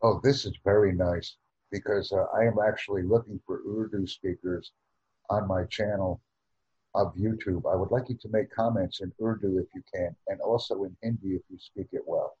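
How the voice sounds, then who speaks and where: An American man hosting a YouTube channel, speaking formally and deliberately with careful enunciation on a video call. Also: deep voice, fairly even intonation, a little mellow, husky, lo-fi sound. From United States.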